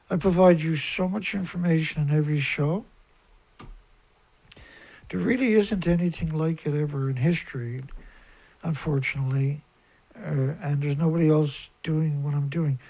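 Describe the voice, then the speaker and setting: A man with a squeaky voice hosting a live stream in a rambling, blunt, aggrieved and emphatic monologue that often repeats itself. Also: darkish tone, rough recording. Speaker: live stream host, environmental activist.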